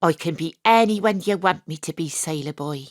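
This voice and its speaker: A woman's voice: low voice, moderate pace, no room sound, clean studio sound, close to an everyday voice. Woman putting on a flirty Irish lass. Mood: flirty, coy. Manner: coy, teasing. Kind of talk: audio drama acting.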